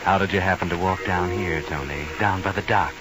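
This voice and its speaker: A man's voice: sits low, fairly quick, noisy background, natural voice. An actor playing a sinister, insinuating narrator in an old radio mystery, slyly goading a character as he addresses him. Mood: eerie, goading. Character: sinister, insinuating inner-voice narrator who taunts the listener. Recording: old radio broadcast with music behind.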